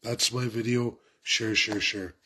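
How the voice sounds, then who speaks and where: A man's voice: on the low side, steady tone, measured pace, sharp tone, breathy edge, low-quality recording. A man with a head cold, sounding congested, giving an urgent, repetitive political rant to his viewers. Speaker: political commentator. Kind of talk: impassioned political rant to camera.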